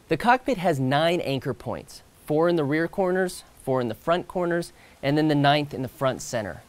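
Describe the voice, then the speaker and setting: A man in his thirties presenting a product outdoors, explaining in a relaxed way with the odd filler and restart. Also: mid-range voice. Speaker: company co-founder presenting product. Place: outdoors by a stone wall.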